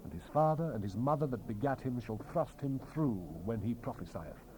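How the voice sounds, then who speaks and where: A man's voice: medium pitch, animated, normal speed, dark tone, poor audio. Man declaiming scripture with dramatic swells and drops. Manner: solemn, declamatory, prophetic oratory. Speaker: scripture narrator. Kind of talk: dramatized King James Bible reading. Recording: hissy 1970s cassette rip, ambient sound behind.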